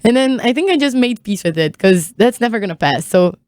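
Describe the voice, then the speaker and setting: A woman's voice: a little high, expressive, a little fast, darkish tone, dry recording, studio quality. A young woman from Brazil with a Brazilian Portuguese accent, chatting casually as a podcast guest and often saying "like" and "you know.". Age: young adult. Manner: chatty, frequent "like" and "you know", light laughs. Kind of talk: casual conversation.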